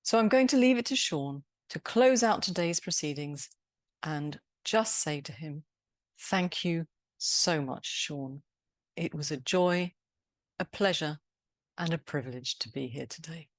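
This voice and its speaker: Lowish-voiced woman hosting, dry sound. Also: lively intonation, measured pace, no room sound. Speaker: host closing the event.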